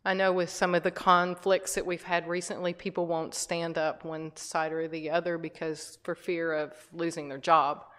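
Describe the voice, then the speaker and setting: A woman's voice: lowish voice, level tone, normal speed, hardly any pauses, fairly bright tone. A middle-aged woman giving a conversational talk to a church group, with many fillers and restarts. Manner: conversational, frequent fillers and restarts, laughs lightly. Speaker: guest speaker. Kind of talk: informal talk to a church audience. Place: church hall.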